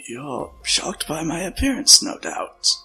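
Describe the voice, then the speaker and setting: An actor playing an old, weary scientist in a science fiction audio drama, speaking in a measured, explanatory way with a creaky voice. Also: male voice, on the high side, big pitch swings, sharp tone, full character voice. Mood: gently apologetic. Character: old, worn male scientist, weary, reflective friend. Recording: music under the voice.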